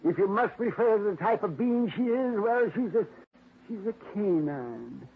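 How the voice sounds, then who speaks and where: A blustering, short-tempered older gentleman in a 1950s radio mystery. His voice sits high and gruff. The old broadcast sound is thin and tinny, with a faint hiss behind it. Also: animated, moderate pace. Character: blustering older man, rich dog owner. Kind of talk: 1950s radio drama acting.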